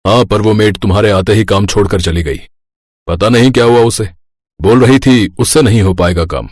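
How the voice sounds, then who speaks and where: A man voicing a busy young husband in an animated story, casual, evasive and a little hesitant. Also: fairly low pitch, normal speed, no room sound, studio quality, close to an everyday voice. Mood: hurried, evasive. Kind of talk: animated moral-story cartoon voice acting.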